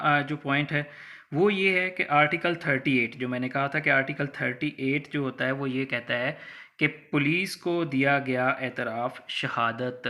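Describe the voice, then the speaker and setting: A Pakistani man teaches in a bright, forceful voice at a middle pitch. He explains in a conversational lecture style, spelling out examples and dropping English legal terms into his sentences. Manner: didactic, conversational, acts out hypothetical examples. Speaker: law lecturer.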